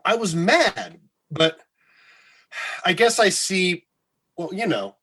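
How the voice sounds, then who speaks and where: A man playing an awkward, sarcastic radio colleague with a husky voice and halting delivery in a comedy radio drama. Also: high voice, big pitch swings, fairly bright tone, exaggerated voice. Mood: sheepish. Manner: halting, hesitant, stammering.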